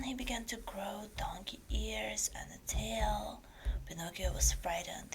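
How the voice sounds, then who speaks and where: A woman reading a fairytale as ASMR in a breathy whisper, slowly and deliberately. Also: medium pitch, slight background noise, rough recording. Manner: slow, deliberate storytelling delivery. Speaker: ASMR creator and story reader.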